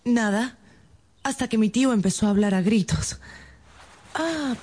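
A woman's voice: fairly high pitch, measured pace, mellow, breathy edge. A woman playing an anxious, demure young niece in a radio drama, speaking in a hesitant, polite, pleading way. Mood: hesitant, guarded.

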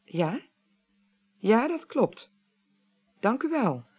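An actress playing a composed, shrewd female parliamentary clerk in a Dutch radio drama, speaking formally, politely and deliberately. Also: on the high side, sing-song, a little mellow, breathy edge, exaggerated voice. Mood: polite, inquiring.

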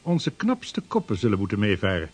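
An actor playing a formal, deferential sixteenth-century trade official in a radio play. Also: male voice, fairly low pitch, huge pitch swings, normal speed. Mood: earnest. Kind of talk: radio drama acting.

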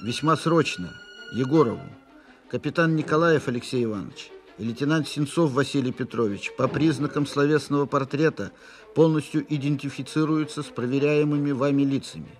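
An actor in a radio play voicing a military messenger who reads out an urgent dispatch in an impersonal, official manner. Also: male voice, medium pitch, a little fast, faint music behind, lightly acted.

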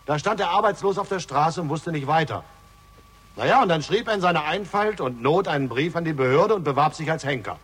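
Defiant accused man, husky voice, ironic oratory, 1960s radio drama, long hall reverb. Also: fairly high pitch, fairly bright tone, exaggerated voice. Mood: storytelling. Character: defiant, sardonic accused man facing a lynch mob.

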